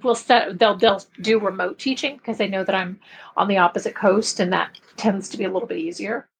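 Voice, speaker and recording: Husky middle-aged woman, casual interview guest. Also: medium pitch, steady tone, a little fast. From grew up in Belgium. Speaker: podcast guest, publishing expert.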